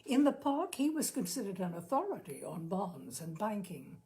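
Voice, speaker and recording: A woman narrating literary fiction expressively, with dramatic, deliberate phrasing and lingering pauses. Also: mid-range voice, very animated, a little mellow. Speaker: narrator, story reader.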